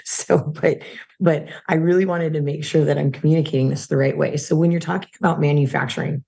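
A hoarse woman in her thirties or forties, a guest chatting in a rambling way about her work in sales. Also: sits low, dark tone. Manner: chatty, rambling, many restarts. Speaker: guest, supplement company sales rep. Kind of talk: casual interview chat.

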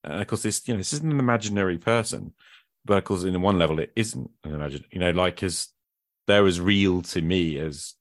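A man's voice: low-pitched, a little fast, dry recording, clean studio sound. A man speaking as a podcast host in casual, reflective conversation, thinking aloud and often qualifying himself.

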